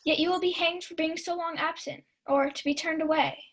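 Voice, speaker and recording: A high-voiced, sharp-tongued maid in a classic radio drama, acted by a young woman. She chides in theatrical Elizabethan style, with a servant's busy authority. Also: fairly even intonation, a little fast. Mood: scolding. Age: young adult. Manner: theatrical, chiding. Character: Maria, scolding sharp-tongued lady's maid. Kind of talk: 1940s radio Shakespeare acting.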